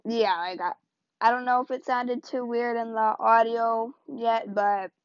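A woman's voice: a little high, fairly even intonation, a little slow, no room sound. A woman hosting a podcast in casual, rambling talk, her voice tired and strained. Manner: rambling, loose and chatty. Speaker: podcast host. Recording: dull lo-fi, dry sound.

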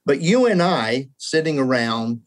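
A man's voice: medium pitch, sing-song, unhurried. A man in his seventies, talking through a theology question in an earnest, reflective way, sometimes poking fun at his younger self. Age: 70s. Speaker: guest scholar. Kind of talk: conversational theology discussion.

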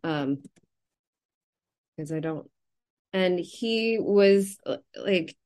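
Lowish-voiced woman, halting and thoughtful. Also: darkish tone. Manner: halting, self-interrupting, thinking aloud, laughs readily. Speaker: novelist, interview guest. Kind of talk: casual literary interview.

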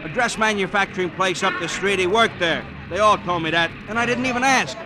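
A man's voice: high-pitched, brisk, close to an everyday voice, poor audio. An actor playing a plain-talking, matter-of-fact city policeman in an old radio drama, reporting in streetwise, colloquial phrases. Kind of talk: 1940s-50s radio drama acting.

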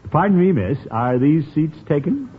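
An actor playing a pompous, flirtatious middle-aged uncle and small-town official in classic radio comedy, blustering and chuckling fussily. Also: male voice, on the high side, lively intonation, normal speed, husky. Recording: noisy, narrow-band vintage radio recording.

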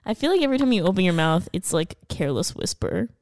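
A woman's voice: fairly low pitch, sing-song, fast talker, a little mellow, dry recording. Joking woman podcast co-host, dry sound. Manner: playful, joking, laughs often. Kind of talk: casual chat.

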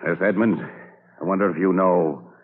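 An actor playing a kindly, grateful army general in an old-time radio drama, measured and reassuring. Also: male voice, low-pitched, breathy edge, narrow, tinny audio. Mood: relieved, gentle. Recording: thin vintage radio recording.

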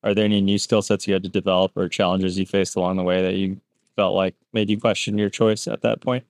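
A man hosts a relaxed interview podcast in a lowish, steady voice that stays flat in pitch. His tone is smooth and clear, and the sound is dry and close. He introduces his guest and asks easy, friendly questions with a few "uh"s. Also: fast, no room sound. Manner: friendly, short prompts, fillers. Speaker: podcast host.

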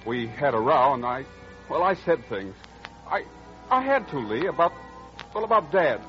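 A young man playing an agitated, remorseful son in an old-time radio drama, speaking haltingly and urgently. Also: mid-range voice, big pitch swings, sharp tone. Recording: muffled vintage radio recording with music.

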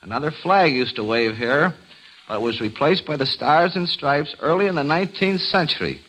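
A man with a somewhat high voice reads travelogue clues for a quiz. He speaks as a guest quizmaster on old-time radio, with the sound of a vintage broadcast. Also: normal speed, a bit bright, slight background noise, rough recording. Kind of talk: scripted travelogue quiz narration.

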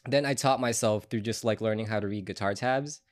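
Low-voiced man in easy podcast chat. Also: a little fast, no room sound. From Ecuador. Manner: relaxed, rambling with many fillers. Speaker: podcast guest. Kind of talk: casual chat. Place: podcast studio.

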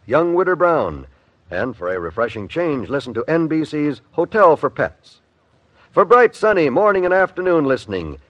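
A man's voice: medium pitch, no echo. A man announcing on 1950s radio, reading scripted promotion in a polished, salesmanlike way. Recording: dull vintage radio broadcast recording.

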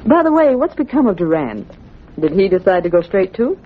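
Female actor as capable secretary, expressive intonation, polite radio-drama style, dull recording with faint noise. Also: medium pitch, brisk, lightly acted. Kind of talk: old-time radio acting.

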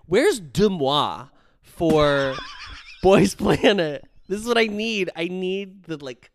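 A man's voice: high voice, expressive, slow, slight background noise. Blunt sarcastic man co-host. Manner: blunt, sarcastic, swears freely. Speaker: podcast co-host. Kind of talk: casual chat.

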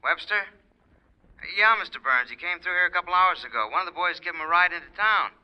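An actor playing an easygoing mine gate guard with a folksy, offhand manner in 1940s radio style. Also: male voice, high-pitched, expressive, fast, clear, resonant tone. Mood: helpful, offhand. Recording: dull vintage radio recording.

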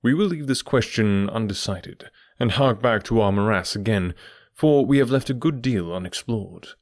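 A man voicing a brisk, methodical middle-aged male detective who explains his reasoning with precise confidence. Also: fairly low pitch, no room sound, clean studio sound, lightly acted. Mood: lecturing.

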